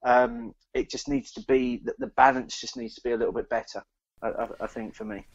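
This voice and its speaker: A man co-hosting a podcast in casual chat, speaking haltingly and repeating words as he thinks aloud. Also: mid-range voice, steady tone, vocal fry, lo-fi sound. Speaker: podcast co-host. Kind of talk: casual chat, beer review.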